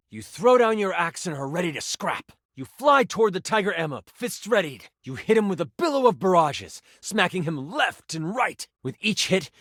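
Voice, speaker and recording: Casting: theatrical fantasy game master, highly expressive delivery, conversational asides, dry studio sound. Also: male voice, no room sound, exaggerated voice. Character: animated personified twenty-sided die running a fantasy game as game master. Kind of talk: dramatic tabletop fantasy narration with improvised asides.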